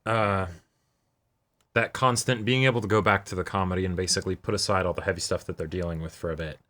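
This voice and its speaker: A man's voice: low-pitched, steady tone, fast, sharp tone. A man chatting casually as co-host of a reaction show, agreeable and a little tentative, thinking aloud in fragments. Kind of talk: casual chat.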